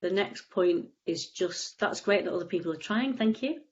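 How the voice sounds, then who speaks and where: A woman's voice: fairly low pitch, expressive, moderate pace, dull audio, slight background noise. A woman with a cold and a stuffy nose, explaining tapping casually on a video call, rambling with frequent restarts. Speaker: EFT tapping practitioner, guest.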